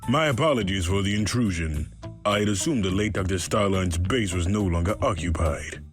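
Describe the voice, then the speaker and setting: A suave, older cartoon opossum crime boss, played by a man, fairly low in pitch. He is courteous and smug, with measured menace, over clearly audible music. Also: lightly acted. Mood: smooth. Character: suave older male opossum villain businessman. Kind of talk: comic dub voice acting.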